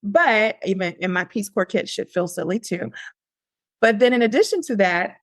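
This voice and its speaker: A woman guest on a podcast, telling a personal story in a lively, conversational way, often pausing on "like". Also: mid-range voice, no room sound, clean studio sound. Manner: animated, lively quoting of her younger self; frequent "like" fillers and restarts.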